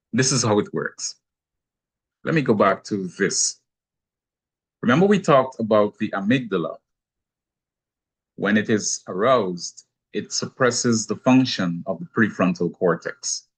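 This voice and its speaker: A man from Guyana speaks with a Guyanese accent in a calm, explanatory teaching talk. His voice sits fairly low and has a husky edge. He sets out his points in a measured way, with an occasional touch of gentle humor. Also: poor audio. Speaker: speaker on anger management.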